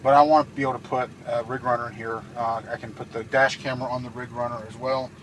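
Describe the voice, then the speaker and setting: Clear background noise from a handheld vehicle video surrounds a man with a mid-pitched voice. He talks informally, like a hobbyist showing off his own setup in a casual, hands-on demonstration. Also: little pitch movement, fast, rough recording. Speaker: amateur radio hobbyist presenting his truck installation. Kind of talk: casual walk-through demonstration. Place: outdoors beside a truck, later inside its cab.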